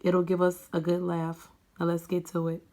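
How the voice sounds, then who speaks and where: A woman reading a scripted podcast intro in a measured, read-out way. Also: on the low side, completely flat delivery, darkish tone.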